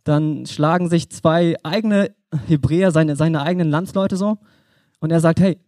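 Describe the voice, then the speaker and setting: A man's voice: fairly high pitch, normal speed, a little mellow, no echo, studio quality. A young man from Germany preaching an informal sermon, talking conversationally and addressing the listener directly.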